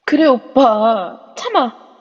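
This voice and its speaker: A woman's voice: sits high, big pitch swings, extremely slow, slightly rough, heavily acted. Woman playing a whiny, frightened young woman. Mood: pleading. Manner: whiny, pleading. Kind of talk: amateur audio drama acting. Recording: dull audio with long reverb.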